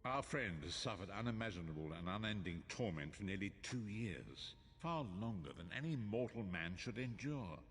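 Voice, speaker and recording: An actor playing a solemn, wise older prophet in a fantasy video game, speaking in a formal, measured, portentous way. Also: male voice, on the low side, animated, a bit bright, slightly rough. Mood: grave, solemn. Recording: long reverb, some background noise.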